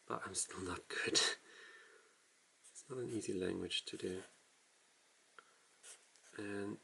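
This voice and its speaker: A man casually walking through a writing tutorial, explaining in a halting, self-deprecating way as he writes. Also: on the low side, gravelly, bright, forceful tone, slight background noise, low-quality recording. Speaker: language tutorial host.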